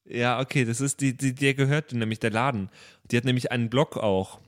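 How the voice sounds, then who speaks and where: A man chatting casually and jokingly, excitable and amused. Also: mid-range voice, brisk. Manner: excitable, amused, breaks into laughter. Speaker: podcast player in an improvised audio play.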